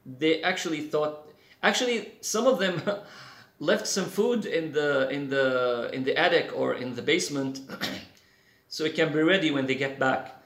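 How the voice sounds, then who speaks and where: A man, a guest in a casual interview, talking haltingly with many fillers and restarts. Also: on the high side, fairly bright tone, echoey room. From Syria. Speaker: guest, CG artist.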